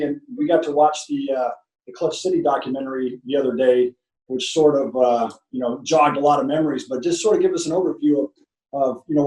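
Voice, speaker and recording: A man's voice: a little high, dark tone. A middle-aged American man hosting a casual sports interview in a conversational way. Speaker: host, sports broadcaster. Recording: echoey, distant from the mic.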